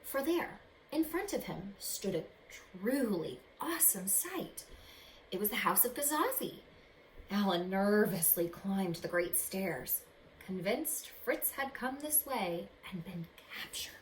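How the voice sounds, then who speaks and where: Expressive woman reading a storybook, echoey room. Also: mid-range voice. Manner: dramatic, pausing to ask young listeners questions. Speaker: teacher reading a picture book aloud to children. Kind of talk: expressive children's storybook read-aloud. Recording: roomy echo, faint background noise.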